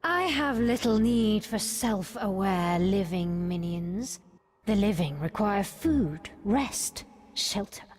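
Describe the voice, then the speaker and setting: A haughty, scheming villainess from a fantasy video game. She talks slowly and imperiously in a husky, mid-pitched voice, with open disdain. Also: expressive. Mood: coolly disdainful. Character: haughty, scheming female villain raising undead army.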